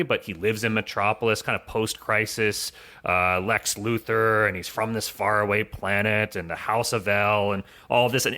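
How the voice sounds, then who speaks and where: A man giving casual analysis as a podcast guest, building his points in halting repetitions. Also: lowish voice, pushed and bright.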